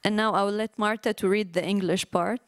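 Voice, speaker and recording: A woman's voice: medium pitch, fairly even intonation, fairly quick. A woman writer from Syria with a Syrian Arabic accent, speaking hesitantly as a guest in a live conversation. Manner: hesitant in English.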